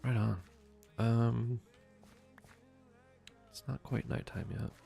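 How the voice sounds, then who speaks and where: A young man streaming a game from home, chatting with his viewers in a soft, meandering way. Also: mid-range voice, flat delivery, takes it slowly, creaky, soft background music. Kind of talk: casual gameplay commentary.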